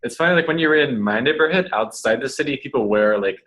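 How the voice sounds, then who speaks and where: A man's voice: medium pitch, animated, fast, a bit bright. A twenty-year-old man on a student panel, answering casually with lots of "like". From United States. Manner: easygoing, lots of "like".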